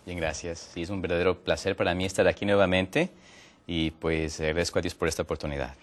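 Low-voiced Ecuadorian male health counselor. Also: fairly even intonation, quick pace. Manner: hesitant, many fillers, rises in pitch when making points. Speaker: guest, natural medicine health counselor. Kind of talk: explaining on a TV talk show.

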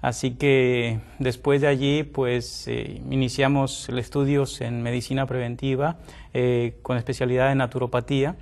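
A middle-aged man from Mexico, a naturopathic doctor and former pastor, speaking politely as a TV guest with many fillers and pauses. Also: mid-range voice, flat delivery, a little mellow. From Tamaulipas, Mexico. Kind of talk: TV interview guest.

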